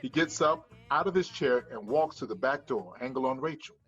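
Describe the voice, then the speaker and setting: A man reads screenplay directions as the narrator. His voice has a medium male pitch, and the delivery is scripted scene description over faint music. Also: lively intonation, moderate pace, a bit bright, slightly rough, muffled recording. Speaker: narrator reading stage directions.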